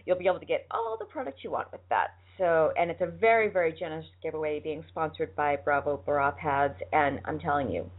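Phone-like, low-pitched American woman hosting radio. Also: brisk, hardly any pauses. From United States, lived in Boston. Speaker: radio show host. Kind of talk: casual, chatty show announcements and sponsor plugs. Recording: thin, phone-like band-limited sound.

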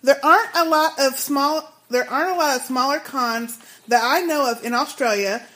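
High-voiced woman co-hosting a podcast. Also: normal speed, sharp tone. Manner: laughing, playful, reads emails aloud. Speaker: podcast co-host. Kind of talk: casual chat reading listener emails.

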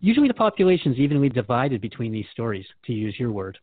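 A man hosts a conversational radio show with earnest curiosity. He talks quickly and with lively, varied intonation. The sound is dry and narrow. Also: mid-range voice, dry recording. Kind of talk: conversational scripted radio hosting.

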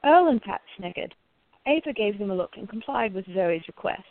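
A woman's voice: medium pitch, lively intonation, normal speed, dry recording, narrow, tinny audio. A woman narrating a radio play from a script.

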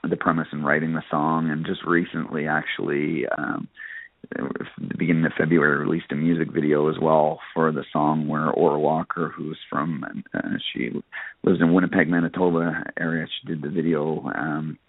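A man giving casual interview answers as a country singer, halting and often saying "you know". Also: a bass voice, little pitch movement, no room sound. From Nova Scotia, Canada. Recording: phone-like narrow radio line, dry.

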